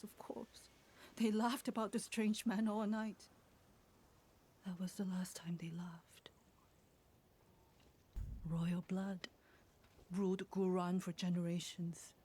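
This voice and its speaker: A woman playing a haunted, steadfast survivor in a dark fantasy game, solemn and hushed. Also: medium pitch, breathy edge.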